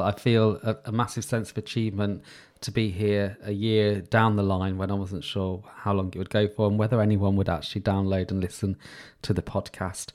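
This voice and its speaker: Male podcast host, calm and sincere, lowish voice, soft-spoken monologue. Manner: soft-spoken with frequent pauses and restarts. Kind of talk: solo reflective podcast talk.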